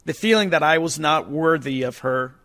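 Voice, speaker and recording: A man playing a defeated, self-pitying father in a solemn, measured radio monologue. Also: a little high, creaky, a bit bright, slight background noise. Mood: rueful, defeated. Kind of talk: theatrical monologue for community radio play.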